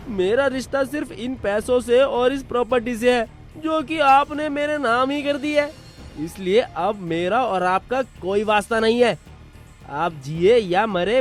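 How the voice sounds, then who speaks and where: A performer acts out a greedy, heartless grown son in a cartoon moral tale. The delivery is slow, dismissive and cold, over faint background music. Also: mid-range voice, lightly acted. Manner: callous, dismissive. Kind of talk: cartoon story voice acting.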